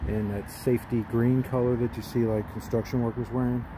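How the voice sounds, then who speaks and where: A man in his forties gives a relaxed, plain-spoken walkthrough of a tent, filming himself on a phone outdoors in a backyard. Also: medium pitch, fairly quick. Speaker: gear reviewer. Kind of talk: casual product walkthrough. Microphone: phone camera, handheld and at arm's length. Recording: phone recording outdoors with background noise.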